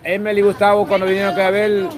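A man's voice: high voice, completely flat delivery, very fast. A man with a Salvadoran accent chatting casually as the host of a livestream. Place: outdoors at night in a busy street. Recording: busy outdoor crowd noise. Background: crowd chatter.

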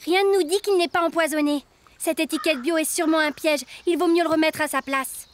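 A woman's voice: high voice, fairly even intonation, brisk, slight background noise, close to an everyday voice. A woman voicing a bossy, stubborn little schoolgirl in a cartoon, blunt and contrary.

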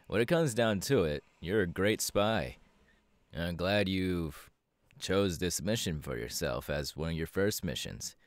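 A laid-back superhero mentor acted by a man speaks in a low voice for an ASMR roleplay. He is proud and reassuring, drawling casually with hesitant pauses. The sound is dry, with no room around it. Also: expressive, no room sound. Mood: proud, easygoing.

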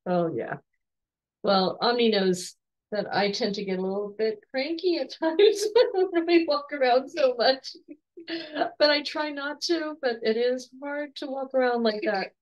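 A woman in her forties, slightly hoarse, gently interviewing her son at home on a video call with soft, encouraging questions and restarts. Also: sits high, measured pace, dull audio. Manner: soft, encouraging, with frequent restarts and light laughs. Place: home room with bookshelves.

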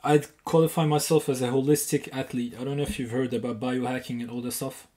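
A man's voice: medium pitch, fairly even intonation, fast talker, warm tone. A man from Morocco whose first language is French, speaking confidently as a guest on a casual phone interview. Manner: confident, explanatory. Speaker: guest caller.

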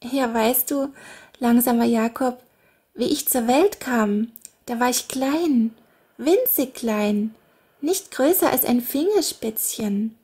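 A carefree little fairy-king's daughter in a storybook, chattering lightly in a raised voice, as a woman puts her on. She talks slowly and a little breathily. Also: on the high side, warm tone, slightly rough. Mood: chatty.

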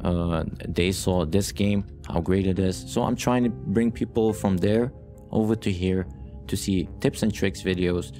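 Low-voiced man hosting a let's play over music. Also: measured pace, mellow. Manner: rambling, repeats phrases for emphasis; earnest, addresses viewers directly. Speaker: gaming channel host. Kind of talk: casual let's play commentary. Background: music with guitar.

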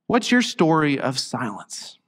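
A man preaching a sermon as pastor, reflective and halting, with frequent restarts. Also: on the high side, big pitch swings. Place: church sanctuary. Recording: long church reverb.

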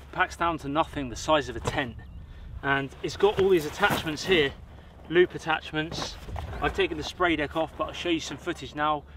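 A man in his thirties from the UK, chatting casually and excitedly to camera while setting up gear outdoors. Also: fairly high pitch. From United Kingdom. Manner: upbeat, chatty, slangy. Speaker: outdoor vlogger. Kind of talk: casual show-and-tell to camera. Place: windy pebble beach outdoors. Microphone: camera mic outdoors. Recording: wind noise on outdoor mic. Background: wind and shore ambience.

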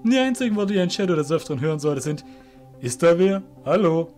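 A man's voice: a little high, expressive, brisk, darkish tone, soft background music, voice pushed up, lightly acted. A man voicing a cruel, sly master of a shadow guild, curt and smug in manner. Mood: mocking, cruel.

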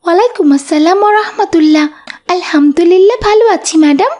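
Woman playing little schoolgirl, extremely high pitch, polite, earnest, devout, cartoon acting. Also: fast talker, a little mellow. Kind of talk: Islamic cartoon dub acting.

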